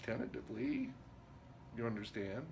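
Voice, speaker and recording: A man performing a dramatic stage monologue as an intense, rambling drifter, speaking in emphatic broken phrases with pauses. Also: medium pitch, big pitch swings, creaky. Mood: suspenseful, deliberate. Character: Jerry, intense rambling drifter confiding a story. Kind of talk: theatrical dramatic monologue reading. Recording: noticeable background noise.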